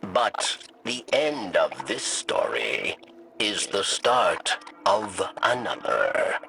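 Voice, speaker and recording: An actor playing a solemn narrator of a sci-fi game saga, with gravelly, dramatic delivery. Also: male voice, sits low, sing-song, drawn-out words, pushed and bright, a little hiss or hum, exaggerated voice.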